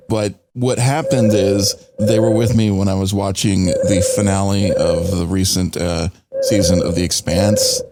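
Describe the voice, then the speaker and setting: A man's voice: lowish voice, heavy background noise. A man chatting casually as a co-host on a fan podcast. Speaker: podcast co-host, show engineer. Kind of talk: casual chat.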